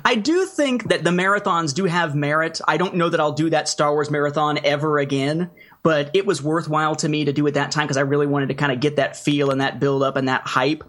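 A man hosting a podcast in casual chat, speaking in a measured, mildly wry way. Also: a little high, steady tone, fairly bright tone. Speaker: podcast host.

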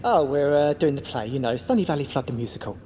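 A woman's voice: sits low, animated, fast talker, mellow, a little hiss or hum. Sheepish, bouncy henchman creature, reverberant. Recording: reverberant room with background ambience.

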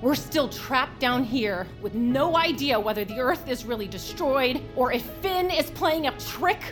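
A woman's voice: high-pitched, animated, a little slow, music in the background. A woman playing a bitter, defiant mother trapped underground in audio fiction, argumentative and cynical. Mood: distraught, defiant.

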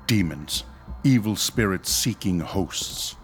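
Stern, low-voiced ghost-hunting doctor. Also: male voice, animated, slow pace, soft background music, full character voice. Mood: grave, ominous. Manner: formal, precise, pedantic. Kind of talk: radio horror drama acting.

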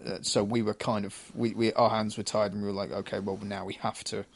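A man in casual discussion as a co-host, halting and often stammering over his words. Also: on the low side, flat delivery, vocal fry. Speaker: co-host of a chat show.

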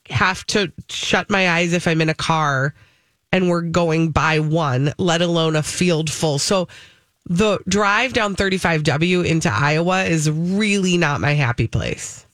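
A woman's voice: on the low side, takes it slowly, a bit bright, dry recording, studio quality. A woman co-hosting a morning radio show, chatting casually and gushingly, often peppering her talk with "like". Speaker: fill-in radio co-host. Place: radio studio.

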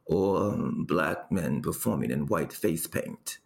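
An actor playing a rambling, deadpan male storyteller telling absurd family history in a comedic audio drama, with deliberate dramatic pauses. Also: extremely low pitch, vocal fry, heavily acted. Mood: wry storytelling.

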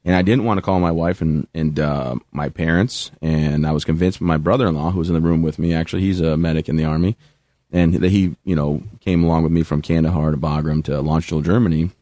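A man's voice: very deep voice, fairly even intonation, brisk, dark tone, dry recording. A man in his thirties, a veteran guest telling his story with self-mocking humor. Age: 30s. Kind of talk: joking then earnest interview talk.

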